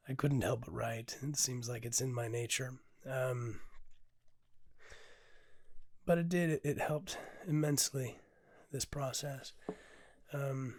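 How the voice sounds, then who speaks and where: A hoarse man hosting his own podcast, talking candidly and unpolished with many ums, swearing freely, sometimes dropping to a hush, and reading his poetry. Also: medium pitch, dry recording, clean studio sound. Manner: drops to a hush at times. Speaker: podcast host and poet. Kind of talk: casual reflective monologue with poetry reading.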